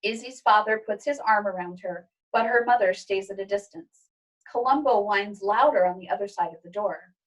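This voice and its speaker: A woman teacher reading a children's story aloud in a gentle, expressive way. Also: medium pitch, normal speed, distant mic, low-quality recording.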